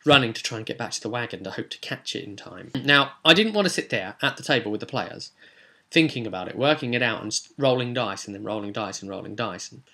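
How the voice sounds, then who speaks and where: Man, bright clear voice, game master recap, casual, quotes characters plainly, lots of ums and uhs. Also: medium pitch, animated, fast talker. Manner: retells scenes, quoting characters in his own voice, many fillers.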